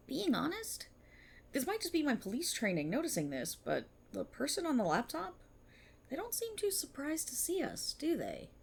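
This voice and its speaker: A woman's voice: sits high, creaky, full character voice. A woman playing an exasperated, self-aware policewoman in an audio roleplay, in a sarcastic, indignant and theatrical manner. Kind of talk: ASMR audio roleplay monologue, dramatic acting.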